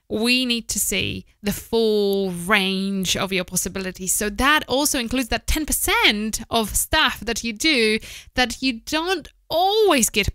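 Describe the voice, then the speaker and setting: Female presenter, thirties, crisp and bright, chatty and frank podcast-style delivery on a close mic. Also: on the high side, no room sound. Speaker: voice-over producer giving advice. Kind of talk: informal advice to camera, podcast style. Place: small indoor office. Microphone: close studio microphone with foam windscreen, headphones.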